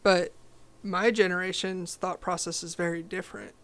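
A young woman with a low voice, talking as a podcast guest in a direct, confident, casual way. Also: normal speed. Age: young adult. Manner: confident, direct, animated storytelling. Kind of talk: casual interview chat.

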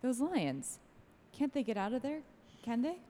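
A woman playing an anxious, gentle housewife and mother in a radio play, fretful in manner. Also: fairly high pitch, big pitch swings, unhurried. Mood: restless, worried. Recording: long reverb, music behind.

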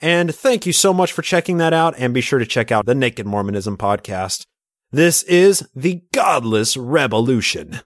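A man's voice: mid-range voice, sing-song, normal speed, no room sound, clean studio sound. A man reading an upbeat podcast promo and sign-off.

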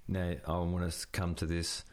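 A man interviewing a guest, gently steering the conversation with questions. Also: sits low, monotone, normal speed.

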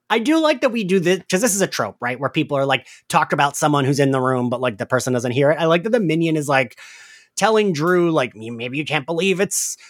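A man's voice: a little high, expressive, brisk, no echo, studio quality. A man co-hosting a casual recap podcast, chatty and giggly, peppering his talk with "like". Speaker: podcast co-host.

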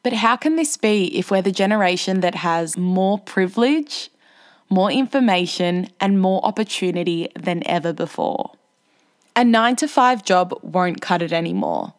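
A woman's voice: on the low side, sing-song, moderate pace. A woman in her twenties hosting her own podcast, reading a scripted narration in a candid, self-deprecating way. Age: 20s. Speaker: podcast host.

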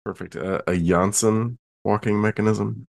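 A man's voice: fairly low pitch, fairly even intonation, normal speed, gravelly, darkish tone, no echo. A man playing a tabletop game, bantering casually in a wry, joking way.

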